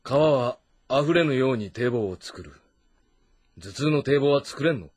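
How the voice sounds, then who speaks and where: An actor playing an impatient, imperious warlord of ancient China, curt and commanding, in an audio drama. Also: male voice, mid-range voice, expressive, a little slow, darkish tone, husky, dry recording. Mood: irritable. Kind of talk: audio drama dialogue, period commanding speech. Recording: voice over background music.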